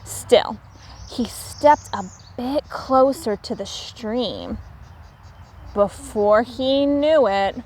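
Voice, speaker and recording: High-voiced woman reading a children's story. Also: slight background noise. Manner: expressive, deliberate. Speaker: teacher reading aloud. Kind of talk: children's storybook read-aloud.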